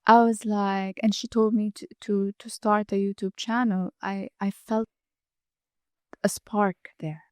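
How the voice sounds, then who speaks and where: A woman's voice: medium pitch, flat delivery, unhurried, a little mellow, clean tone, dry recording, studio quality. A woman from Saudi Arabia, a chef and food blogger, earnestly explaining at length in an interview and often restarting her sentences. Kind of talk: interview conversation.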